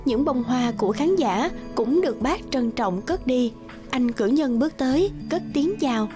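Female narrator, high register, scripted children's fairy tale read over a music bed. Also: expressive, normal speed.

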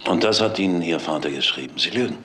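An actor playing a stern, indignant defence lawyer in a German crime radio drama. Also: male voice, deep voice, lively intonation, fast talker, fairly bright tone, slight background noise.

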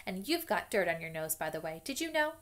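A woman's voice: a little high, sing-song, quick pace, voice pushed up. Know-it-all schoolgirl, as a woman reader plays her, sniffy and scolding. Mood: bossy.

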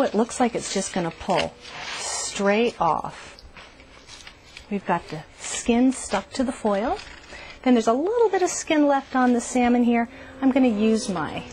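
A woman's voice: a little high, a little mellow, a little hiss or hum, poor audio. A woman hosting a cooking show, walking through a recipe step by step in a cheerful, instructive way. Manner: cheerful, instructive, says "delicious" often. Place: RV kitchen and outdoor grill.

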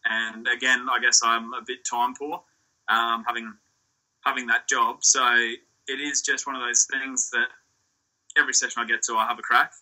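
Young Australian male athlete, bright and sharp tone, easygoing rambling answers, laptop-mic video call sound. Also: mid-range voice, normal speed, low-quality recording. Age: young adult. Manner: relaxed, rambling, with restarts and fillers. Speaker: surf ski champion guest. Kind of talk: casual interview answers. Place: small room indoors. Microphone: laptop or phone on a video call.

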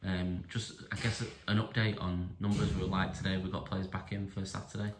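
A man asking a football manager steady post-match questions for the club's media. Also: low-pitched, a little fast, reverberant, background noise. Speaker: club media interviewer. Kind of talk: interview questions.

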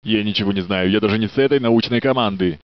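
A man's voice: lowish voice, quick pace, close to an everyday voice. An actor playing a polite, dutiful male security guard in a game dub, courteous and a little flirtatious. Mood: friendly. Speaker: security guard in a research facility. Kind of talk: Russian game dub voice lines. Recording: dry, dull studio dub.

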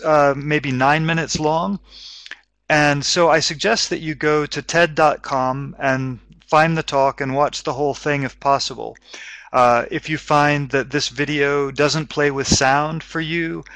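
A middle-aged man presents a webinar on teaching English, explaining in a measured way through a headset mic. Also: a little high, gravelly, a bit bright, no room sound. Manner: measured, explaining with frequent restarts. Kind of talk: educational webinar talk.

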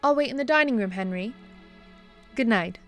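An actress playing a kindly, long-suffering bishop's wife in an audio drama, gentle and solicitous. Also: female voice, a little high, animated, moderate pace, soft background music. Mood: gracious. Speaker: actor. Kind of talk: radio-play style drama acting.

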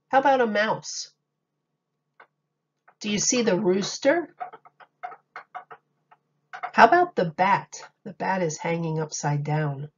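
Slow-paced woman reading to young children. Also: mid-range voice, muffled recording. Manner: simple, deliberate phrasing addressed to small children. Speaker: teacher reading a picture book aloud. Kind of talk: children's read-aloud with questions to young listeners.

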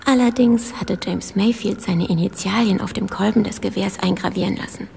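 An actress playing a secretive, guilt-ridden informant in a radio play, with a breathy, half-whispered, gravelly voice and a halting, cryptic manner. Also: female voice, medium pitch, fairly even intonation, darkish tone. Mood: hushed, insistent. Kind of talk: German radio play acting. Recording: reverberant hall, faint background noise.

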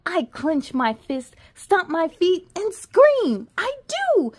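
A woman voicing a little girl with big, changing feelings in a children's storybook, slow and expressive. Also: very high-pitched, a little hiss or hum, heavily acted. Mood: angry. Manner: slow, exaggerated, expressive delivery. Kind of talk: children's storybook read-aloud, acted out.

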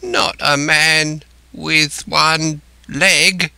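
A man's voice: sits high, very animated, takes it slowly, pushed and bright, no room sound, pitched above the performer's own voice, full character voice. A man voicing a marooned, half-crazed old seafarer in a storybook, sly and childish, with rambling, wheedling rustic sailor speech. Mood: fearful, threatening.

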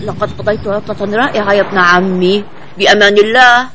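High-pitched, friendly young cartoon bird. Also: male voice, fairly even intonation, fast, bright, forceful tone, slight background noise. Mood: cheerful, curious. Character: friendly young male cartoon bird, puzzled but caring. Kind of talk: Arabic children's cartoon dubbing.

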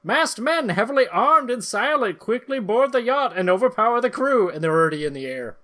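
A man's voice: very high voice, sing-song, brisk, a bit bright. A middle-aged man co-hosting a podcast, moving between casual chat and dramatic reading. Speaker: podcast co-host. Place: indoor room, video call.

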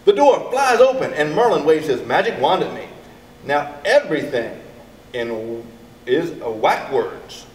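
Middle-aged man, lively swooping storybook delivery, highish pitch, echoing distant recording. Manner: expressive, deliberate, addressing children. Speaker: elementary school staff member reading to children. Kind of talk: animated children's storybook read-aloud. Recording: echoey, distant mic with background noise.

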